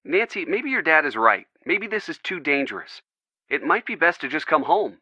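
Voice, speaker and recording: An actor playing a caring, loyal young American boyfriend in a video game, earnest and gently wry. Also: male voice, fairly high pitch, very animated, moderate pace, no echo, highs cut off, exaggerated voice. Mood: concerned. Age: young adult. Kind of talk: video game dialogue, phone conversation.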